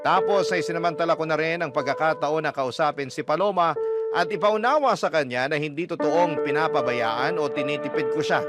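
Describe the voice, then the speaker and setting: Casting: male radio storyteller, slightly high voice, earnest confessional narration, heavy music bed. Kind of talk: first-person scripted narration of a letter. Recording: loud music under the voice. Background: prominent background music.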